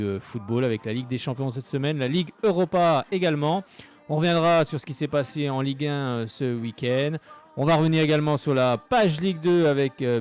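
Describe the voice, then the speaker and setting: A man's voice: medium pitch, a little mellow, clear, resonant tone. A man hosts a live sports program from a radio studio. He talks in a chatty, friendly way and often stops on hesitant fillers. Faint music plays behind him. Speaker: radio sports show host.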